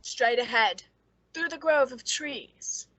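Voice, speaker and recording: A woman's voice: sits high, slow, pushed and bright, slightly rough, no echo, full character voice, rough recording. A woman playing a cold, gleeful murderess who narrates and speaks in a theatrical radio suspense drama. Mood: coaxing, menacing.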